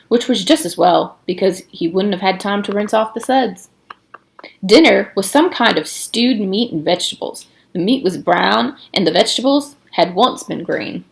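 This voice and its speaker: A woman's voice: mid-range voice, gravelly. A woman narrating a storybook aloud, acting out the dialogue with emphasis. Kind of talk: expressive storybook read-aloud.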